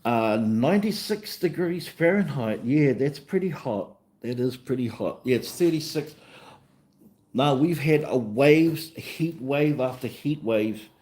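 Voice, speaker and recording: A man's voice: mid-range voice, lively intonation, measured pace, darkish tone. A man with a New Zealand accent hosting a casual livestream chat in an easygoing, rambling way, often repeating himself. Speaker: livestream host and vlogger.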